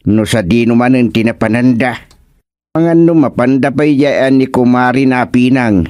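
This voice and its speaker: A man with an Ilocano accent playing a comical, excitable older village husband in a radio comedy-drama, full of exclamations and chuckles. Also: mid-range voice, no room sound, studio quality, close to an everyday voice. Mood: chatty. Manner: exclamatory, chuckling, drawn-out reactions.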